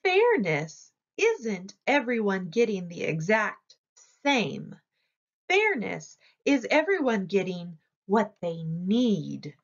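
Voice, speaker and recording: A woman's voice: medium pitch, big pitch swings, takes it slowly, lo-fi sound, heavily acted. A woman voicing a caring, firm female zookeeper in a storybook, speaking in a patiently instructive way. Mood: gently instructive.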